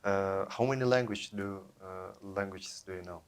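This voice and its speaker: A man's voice: low-pitched, normal speed. A man hosting an interview, asking questions in English hesitantly and backing them with short acknowledgements. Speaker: interviewer, host.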